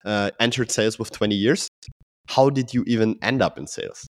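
A man's voice: on the low side, a little fast, no room sound, clean studio sound. A man hosting a podcast who speaks with enthusiastic, effusive praise for his guest. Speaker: podcast host. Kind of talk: podcast interview.

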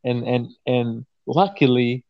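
Twenties man, mid pitch, profane and emphatic, dry slightly muffled sound. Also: takes it slowly, no echo. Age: 20s. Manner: profane, emphatic, repeats "you know what I'm saying". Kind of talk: casual conversation.

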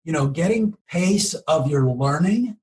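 A man explaining things conversationally as an interview guest, emphatic and often checking in with a quick "okay?" or "right?". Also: on the high side, lively intonation, darkish tone. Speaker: podcast guest, marketing professional.

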